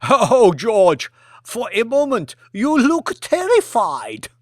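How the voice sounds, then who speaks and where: A man's voice: very high-pitched, wildly swooping pitch, slow pace, no room sound, clean studio sound, voice pushed up, heavily acted. A man voicing a scheming, mocking Chinese premier in an audiobook, with a pompous, emphatic delivery. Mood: taunting. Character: scheming Chinese premier, thin-smiled, mocking and self-important.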